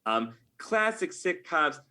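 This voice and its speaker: Bright-voiced, wry man in casual interview. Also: on the high side, very animated, slow. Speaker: podcast guest, game writer.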